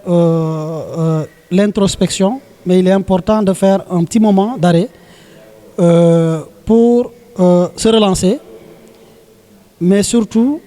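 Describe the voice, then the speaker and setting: A man with a Senegalese French accent, a local elected official giving an oratorical interview statement, deliberate and hesitant, repeating phrases for emphasis. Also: high-pitched, dark tone.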